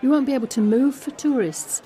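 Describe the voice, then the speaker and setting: Audio drama lead: actress as frank, guarded young daughter, warm dark tone, intimate conversational monologue. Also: female voice, high-pitched, brisk, a faint crowd, lightly acted. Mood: puzzled, wary. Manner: confiding, conversational storytelling that re-enacts dialogue. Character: Alex, young woman, guarded, frank, tender daughter confiding a secret.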